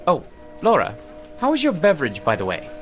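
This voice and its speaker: A man's voice: fairly high pitch, huge pitch swings, a little fast, a bit bright. An actor playing a fussy, self-important male office worker with a pompous, bookish, enunciated way of talking in a television drama. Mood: smug. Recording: background music under dramatic dialogue.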